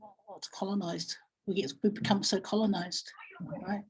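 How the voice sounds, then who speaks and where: A woman giving a reflective talk as a guest speaker, telling stories and thinking aloud with halting, repeated phrases. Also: mid-range voice, steady tone, slightly rough, rough recording. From Samoa or Samoan community in New Zealand. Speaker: guest speaker, family violence practitioner. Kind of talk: reflective webinar talk, storytelling.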